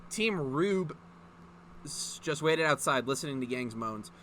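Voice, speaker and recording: A man's voice: a little high, animated, a little slow, bright, forceful tone, a little hiss or hum. A man reading a story aloud in a mocking, informal way, breaking off to add sweary, incredulous commentary. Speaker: online video host reading fan fiction aloud.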